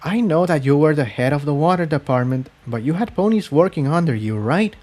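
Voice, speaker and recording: A man voicing a gentle young female pony in a fantasy story, anxious but supportive, with soft, earnest delivery. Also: on the high side, expressive, brisk, pitched above the performer's own voice. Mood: worried questioning.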